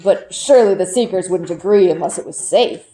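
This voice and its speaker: Woman reading as older village shopkeeper man, guarded, measured, evasive, reassuring, storybook character. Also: fairly low pitch, dark tone, voice pushed down, natural voice, poor audio. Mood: doubtful.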